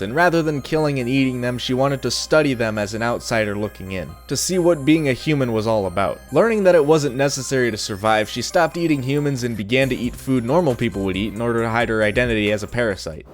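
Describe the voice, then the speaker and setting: A man's voice: mid-range voice, animated, quick pace, hardly any pauses, soft background music. A young adult man reading a scripted review in a casual, opinionated, sardonic way. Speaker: video reviewer.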